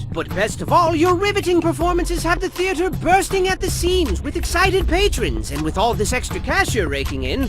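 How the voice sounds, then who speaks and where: Excitable male storyteller for a cartoonish comedy game: very high voice, highly animated, theatrical and rambling. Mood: excitedly congratulating. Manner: theatrical, mock-grandiose, rambling and stumbling over words. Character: excitable, silly, melodramatic male storyteller narrator.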